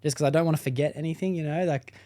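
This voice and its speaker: A man's voice: a little high, steady tone, very fast, warm tone. A man hosting a podcast, chatting in a laid-back, friendly way. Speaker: podcast host. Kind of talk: casual interview chat.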